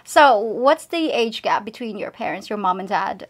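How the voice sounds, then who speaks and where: A woman's voice: medium pitch, animated, normal speed. A woman speaking as a host, moving between scripted introduction and casual interview questions.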